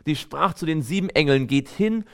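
A man's voice: a little high, lively intonation, normal speed, creaky. Earnest male preacher delivering a sermon. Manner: earnest, exhortative, emphatic phrasing. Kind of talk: sermon with scripture readings.

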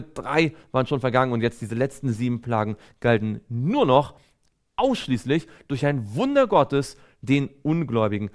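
Earnest male preacher delivering a sermon. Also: mid-range voice. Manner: earnest, exhortative, emphatic phrasing. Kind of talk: sermon with scripture readings.

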